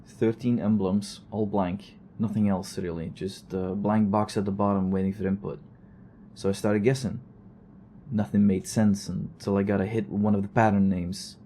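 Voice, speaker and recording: Over steady background noise comes an actor as a male investigator in an audio drama, low and soft-edged. He rambles through his recorded log with weary, dry sarcasm. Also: moderate pace, lightly acted. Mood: musing, dry.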